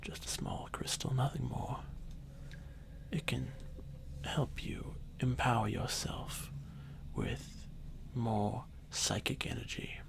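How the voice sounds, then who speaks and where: A man playing an arrogant, domineering dragon thousands of years old, in a breathy, creaky half-whisper for a fantasy ASMR roleplay. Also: medium pitch, steady tone. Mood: proud, instructive. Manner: slow, haughty, deliberate.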